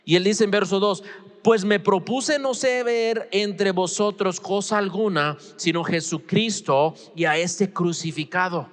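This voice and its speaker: High-voiced man preaching in a reverberant hall. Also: a little slow. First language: English. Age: 40s. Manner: informal, acts out imagined dialogue, asks the listeners rhetorical questions. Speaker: pastor preaching at a church. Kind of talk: conversational expository sermon in Spanish as a second language. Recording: reverberant hall with long echo.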